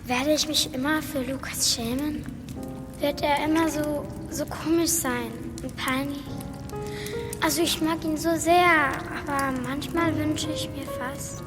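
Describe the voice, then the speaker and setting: A schoolgirl space adventurer, high-voiced and unhurried, in a long cave-like reverb. She sounds earnest, inquisitive and a little dithering, as in a children's audio drama. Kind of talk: children's radio play acting.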